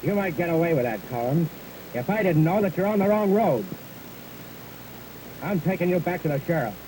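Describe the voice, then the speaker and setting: An actor playing a determined young hero in a 1930s film serial, brisk and commanding. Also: male voice, on the high side, animated, warm tone, close to an everyday voice, low-quality recording. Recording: hissy old VHS film transfer.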